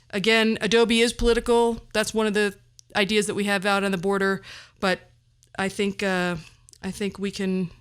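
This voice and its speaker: A woman's voice: medium pitch, a bit bright, clean tone. A middle-aged woman talking conversationally as a podcast host, with wry, self-deprecating asides.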